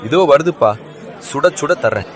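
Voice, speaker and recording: A man playing a lazy, boastful village husband with a casual manner in an animated moral story. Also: a little high, moderate pace, natural voice. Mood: carefree, casual. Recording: music bed with crowd murmur. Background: music and crowd sounds.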